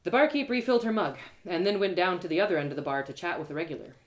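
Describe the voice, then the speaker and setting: A woman reading her own story aloud live as an expressive narrator. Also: fairly low pitch, rapid-fire.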